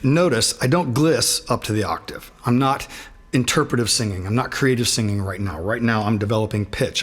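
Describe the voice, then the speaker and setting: A middle-aged man with a raspy voice and sinus trouble, teaching a singing warm-up in a relaxed, self-deprecating way. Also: lowish voice. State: sinus trouble, throat not feeling great. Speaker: guitarist giving a singing lesson. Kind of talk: casual instructional talk.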